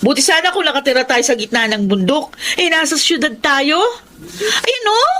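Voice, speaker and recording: A young Filipino daughter in a radio drama. Her voice is very high and bright, and she scolds her parents in exasperation. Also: lively intonation, moderate pace, a little hiss or hum. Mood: exasperated.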